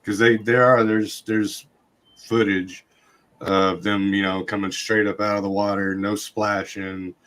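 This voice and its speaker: Hoarse low-voiced man, flat delivery. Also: a little slow. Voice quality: scratchy voice. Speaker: podcast host. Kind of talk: casual chat.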